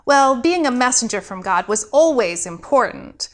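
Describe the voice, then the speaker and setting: A woman's voice: a little high, very animated, moderate pace. A woman, a pastor and host, reading a scripted story aloud as a storyteller.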